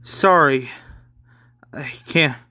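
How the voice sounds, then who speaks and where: A man's voice: on the high side, extremely slow, pushed and bright, exaggerated voice. A man voicing a defiant, evasive young woman in a manga story, blunt and dismissive. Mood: apologetic.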